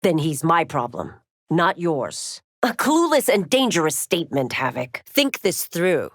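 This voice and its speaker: A woman's voice: low-pitched, huge pitch swings, a little slow, no echo, clean studio sound, heavily acted. A woman playing a stern, proud veteran constable in a video game, speaking in formal, clipped, authoritative declarations. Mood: cold, challenging, then stern, commanding. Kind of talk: video game fighter voice lines, dramatic acting.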